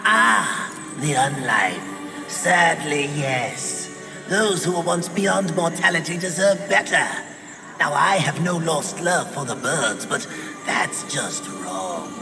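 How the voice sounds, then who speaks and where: A man playing a sly, wheedling, theatrical demon merchant in a video game, flattering and drawing out his words. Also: fairly high pitch, gravelly, big-room sound, music in the background, heavily acted. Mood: mournful, sly. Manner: flamboyant, drawn-out, swooping. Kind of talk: scripted video game cutscene acting.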